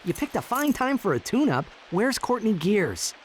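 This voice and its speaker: An actor playing a youthful, eager alien hero in a video game, casual and expressive. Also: male voice, sits high, moderate pace, slight background noise. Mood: impatient.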